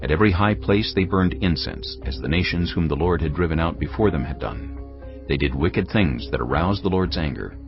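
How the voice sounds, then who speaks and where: A male narrator reading scripture in a measured, grave storytelling manner. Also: sits low, lively intonation. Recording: dull lo-fi sound over cinematic music. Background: cinematic music.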